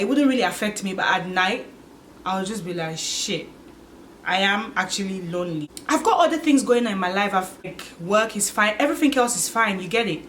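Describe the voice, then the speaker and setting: A woman in her twenties talking candidly to her channel, musing aloud and filling pauses with "like" and "you get it?". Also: mid-range voice, slight background noise. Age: 20s. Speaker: vlogger. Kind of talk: casual confessional vlog.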